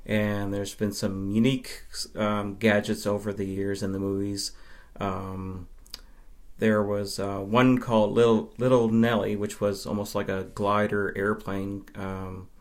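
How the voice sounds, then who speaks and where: A man from Nova Scotia, answering interview questions as a podcast guest in a measured, explanatory way with pauses. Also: on the low side, level tone. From Nova Scotia, Canada. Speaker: podcast guest, film fan.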